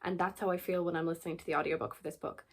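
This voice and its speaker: A woman's voice: lowish voice, steady tone, rapid-fire, vocal fry, husky. A young Irish woman in her twenties talks casually to camera about books she is reading. She is earnest and chatty, leans on repeated words for emphasis, and laughs easily between thoughts. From Ireland. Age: 20s. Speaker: book vlogger. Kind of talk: casual book chat to camera.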